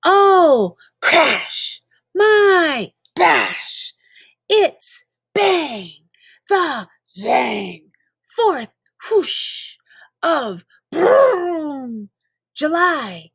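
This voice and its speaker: Woman, high-pitched, unhurried, playful dramatic read-aloud of children's verse, drawn-out emphatic words, close dry sound. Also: big pitch swings, vocal fry, fairly bright tone, dry recording. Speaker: reader of children's poems.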